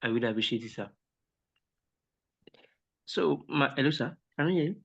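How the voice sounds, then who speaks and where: A man's voice: mid-range voice, expressive, normal speed, gravelly. A man, a mentorship participant, sharing a reflection politely and hesitantly, with many restarts. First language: Yoruba. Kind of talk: sharing a reflection on a video call. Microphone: online call audio. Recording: dull lo-fi call audio.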